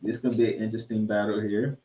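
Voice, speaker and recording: A man co-hosting a sports podcast, previewing games and picks with drawn-out, emphatic announcer-style introductions. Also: on the low side, little pitch movement, warm tone. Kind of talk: college football game previews and picks. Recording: roomy, echoey sound.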